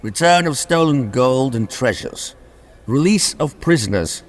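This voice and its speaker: A man's voice: medium pitch, lively intonation, unhurried, close to an everyday voice. A man playing an imperious, petulant king in a comic radio play, pompous and indignant. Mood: pompous dictation. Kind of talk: radio comedy drama acting. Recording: long reverberant hall.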